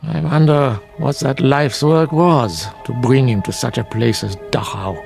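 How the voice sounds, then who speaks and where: An actor playing a somber, weary, humane doctor in a radio drama, speaking gravely and deliberately. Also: male voice, medium pitch, fairly even intonation, darkish tone. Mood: concerned, dry. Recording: music bed behind voice.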